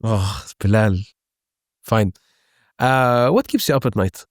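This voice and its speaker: A man's voice: on the low side, expressive, slow pace, no echo, studio quality. A man hosting an interview, asking questions and giving short encouraging affirmations. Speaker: podcast host.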